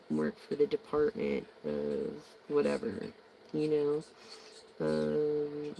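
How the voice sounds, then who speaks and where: Hoarse, gravelly slow-talking tarot reader. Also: mid-range voice, dark tone, slight background noise, low-quality recording. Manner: slangy, meandering, many ums. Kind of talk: casual rambling tarot reading.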